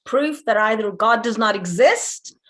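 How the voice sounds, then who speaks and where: A woman's voice: on the high side, animated, unhurried, a bit bright. A woman playing a blunt, sardonic, weathered mother in her forties in a table read. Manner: blunt, irreverent.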